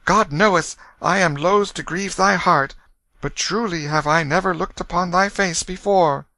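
A man's voice: high-pitched, a little slow, pushed and bright, dry recording, pitched above the performer's own voice, full character voice. A man voicing a proud young boy prince in a storybook, with lofty, formal archaic speech. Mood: reluctant. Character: proud, indignant young boy prince, gentle but commanding. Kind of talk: dramatic storybook reading.

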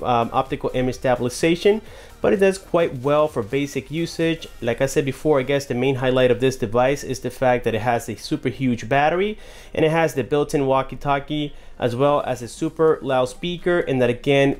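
A man's voice: mid-range voice, darkish tone. A man giving a casual, chatty phone review to his viewers at a desk indoors. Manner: chatty, addresses viewers as guys. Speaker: tech reviewer. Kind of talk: casual product demo.

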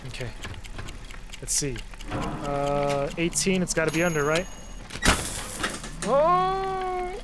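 A man giving casual let's-play commentary on a horror game, talking to himself, repeating phrases and getting excitable when startled. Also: a little high, slow pace, pauses often, very noisy. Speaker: host, gamer. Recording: loud game soundtrack under the voice. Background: loud horror game music and sound.

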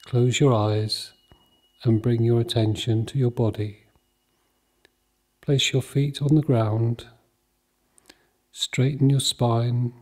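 Slow, mellow man guiding meditation. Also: mid-range voice. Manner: calm, measured, soothing, with long pauses between short phrases. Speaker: meditation guide. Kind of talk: guided mindfulness meditation.

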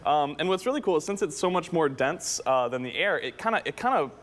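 A man's voice: on the high side, animated, brisk, a bit bright. A man in his twenties giving a casual, playful science demonstration as a guest educator in a TV studio. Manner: playful, conversational. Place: TV news studio. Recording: clearly noisy studio background.

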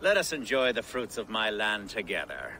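Wealthy medieval lord, lively swooping pitch, bright forceful tone, theatrical and ingratiating, game drama. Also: male voice, normal speed, slight background noise, rough recording. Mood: effusive, ingratiating. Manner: effusive, flowery, theatrical. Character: genial, showy, ingratiating wealthy Saxon lord. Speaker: actor in a video game cutscene. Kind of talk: video game drama acting.

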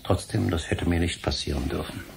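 A troubled middle-aged professor in a radio drama. His voice is fairly low and creaky, his delivery formal and intense, over faint noise and ambience. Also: brisk. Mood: contrite, anxious. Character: troubled middle-aged history professor, emotional, contrite husband. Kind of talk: German radio play acting.

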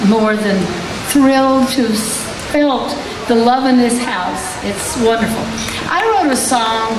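An older woman, a singer-songwriter, chatting warmly and rambling between songs at a wedding, her voice hoarse. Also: fairly high pitch, far from the mic, background noise. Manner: rambling, fond, unhurried. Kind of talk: casual stage chat between songs. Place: large venue stage, live band. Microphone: stage vocal mic on a stand. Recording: roomy live sound heard from afar. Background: band playing.

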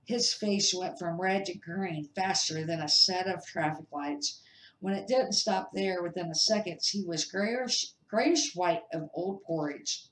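A woman's voice: on the low side, measured pace, a bit bright. A middle-aged woman reading a children's novel aloud as an amateur, careful and sometimes stumbling over the words. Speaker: amateur storybook reader. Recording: echoey, roomy sound.

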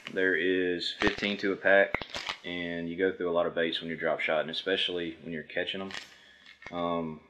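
A man's voice: low-pitched, a bit bright, slight background noise, rough recording. A man in his thirties hosting a fishing video, talking casually and matter-of-factly to camera as he goes through products. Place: indoors at a table before a backdrop.

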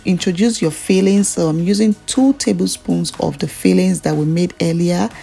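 Casting: Nigerian-accented woman, lower-pitched voice, chatty food vlogger walking through a recipe over background music. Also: creaky, dark tone, husky. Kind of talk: casual cooking tutorial narration.

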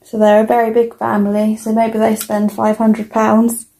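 A young woman with a British accent giving a casual, informal toy review as an unhurried walkthrough. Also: fairly high pitch, monotone, creaky, warm tone.

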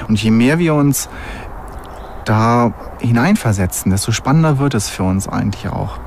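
A man's voice: mid-range voice, normal speed, darkish tone, a little hiss or hum. A man speaking informally and off the cuff in an interview, as an expert.

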